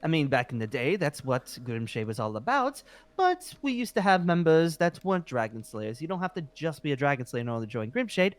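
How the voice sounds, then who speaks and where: A man's voice: a little high, sing-song, brisk, no room sound. A man playing a polite, flustered, centuries-old dragon slayer guild leader in an improvised fantasy roleplay, hesitant and apologetic. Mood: welcoming.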